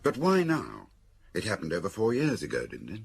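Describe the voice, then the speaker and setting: A man's voice: deep voice, wildly swooping pitch, moderate pace, creaky, slightly rough, exaggerated voice. An actor, a man, playing a curious, shrewd novelist in a radio drama, prompting his friend in a wry conversational way.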